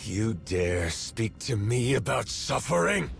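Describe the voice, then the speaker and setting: A gravelly, bitter warrior. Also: male voice, medium pitch, big pitch swings, takes it slowly, heavily acted. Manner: defiant, intense, clipped. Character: bitter, proud Mandalorian warrior, former slave. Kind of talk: video game voice acting. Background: music.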